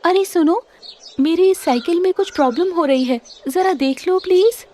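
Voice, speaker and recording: A woman voicing a sweet, gentle young girlfriend in a cartoon story, speaking politely. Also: very high-pitched, normal speed, slight background noise, voice pushed up. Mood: polite request. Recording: music under the voice.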